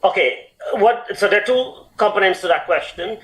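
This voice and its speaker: A man's voice: sits high, lively intonation, moderate pace, fairly bright tone, rough recording. A man who is a doctor, explaining health advice on a talk show with lots of fillers and restarts. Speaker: doctor answering health questions. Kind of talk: medical advice chat.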